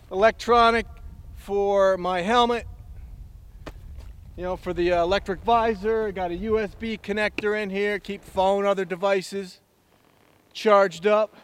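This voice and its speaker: A man's voice: high voice, a bit bright, clear, resonant tone. A man giving an enthusiastic, plainspoken review outdoors on a snowy farm, talking casually and a bit rambling. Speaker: snowmobile reviewer.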